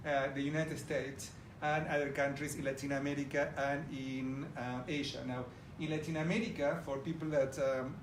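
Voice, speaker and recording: A man's voice: mid-range voice, level tone, normal speed, few pauses. A middle-aged man from Argentina, with an Argentine Spanish accent, gives an enthusiastic presentation to a live audience and talks to them directly. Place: large room with an audience. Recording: roomy, distant audio with background noise.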